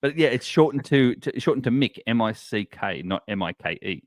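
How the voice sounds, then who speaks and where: A man's voice: mid-range voice, animated, a little fast, dry recording, clean studio sound. A man with an Australian accent, co-hosting a podcast and chatting, laughing and explaining things. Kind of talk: casual podcast banter.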